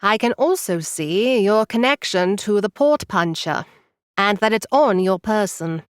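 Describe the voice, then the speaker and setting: An actress playing a calm, knowing advisor who explains things in a measured, dry way. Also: female voice, medium pitch, clean tone, no room sound, close to an everyday voice. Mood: explaining.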